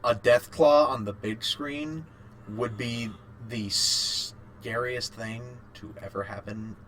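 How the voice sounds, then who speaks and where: A man's voice: mid-range voice, slow pace, a bit bright. A man co-hosting a casual podcast chat about games and films, informal and a little sweary. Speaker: podcast co-host.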